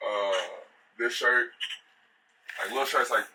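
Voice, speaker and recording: A man's voice: medium pitch, steady tone, slow. A young American man chatting casually and off the cuff, with a laid-back, slangy way of talking. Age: young adult. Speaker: athlete and clothing brand founder. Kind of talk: casual unscripted chat. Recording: clearly noisy background with clicks.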